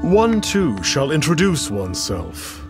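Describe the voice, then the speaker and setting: Game sage: lofty, archaic, boastful, measured pace with very animated pitch. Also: male voice, exaggerated voice. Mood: grandly boastful. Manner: pompous, archaic, stately, calls himself "one". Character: pompous masked man posing as lofty mystical immortal. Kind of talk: video game voice acting. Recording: game music behind.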